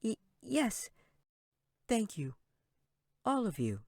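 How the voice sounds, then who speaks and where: A man's voice: sits high, wildly swooping pitch, takes it slowly, gravelly, no room sound, clean studio sound, voice pushed up, heavily acted. A man voicing a frightened young girl, pleading and earnest, in a fantasy audiobook. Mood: timid. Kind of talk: fantasy audiobook character acting.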